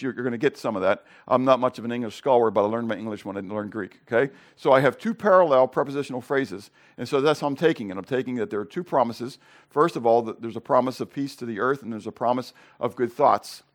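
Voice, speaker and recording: A middle-aged male pastor preaching a sermon with Greek word study, conversational and peppered with "okay?" and rhetorical questions, rising louder on key points. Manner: rises louder and higher on emphasis.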